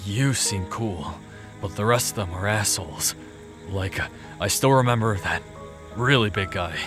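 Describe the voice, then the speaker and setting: An actor playing a gruff, guilt-ridden killer in an audio drama, blunt and awkward in the way he talks. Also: fairly low pitch, close to an everyday voice. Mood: blunt, scornful. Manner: blunt, awkward, halting when flustered. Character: gruff, guilt-ridden male ex-murderer, shy in love. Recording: music under the voice. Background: music.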